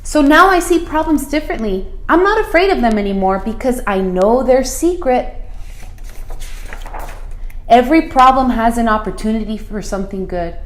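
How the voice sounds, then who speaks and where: A woman reading a picture book aloud to children as a school principal, expressive and deliberate, putting questions to her listeners. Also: fairly high pitch. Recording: roomy, echoey room sound.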